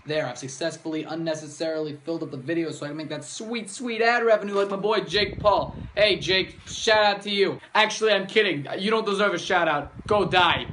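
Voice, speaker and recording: A man's voice: high voice, a little fast, hardly any pauses, background noise. A man giving a jokey comic book review with a sarcastic, theatrical, mocking delivery. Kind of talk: jokey scripted review commentary.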